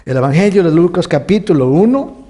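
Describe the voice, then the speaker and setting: A man teaching from the Bible to a congregation, with Costa Rican and Mexican Spanish and a halting manner full of restarts. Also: high voice, animated. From United States, California. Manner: warm, halting with many restarts, chuckles often. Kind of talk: sermon teaching.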